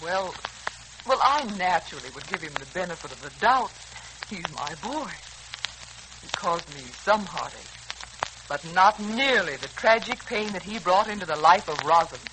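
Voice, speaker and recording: A woman's voice: lowish voice, expressive, normal speed, natural voice. An actress playing a middle-aged American wife and mother in a 1940s radio serial, wry, emphatic and theatrical. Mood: rueful. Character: sharp-tongued, long-suffering middle-aged wife and mother. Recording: hissy 1940s radio recording.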